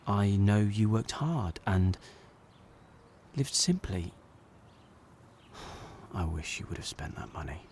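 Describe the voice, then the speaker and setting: An actor playing a regretful, sincere jobbing actor, narrating an audio drama in a halting, plain-spoken way. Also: male voice, low-pitched, a little mellow, slight background noise. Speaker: actor narrating as lead character.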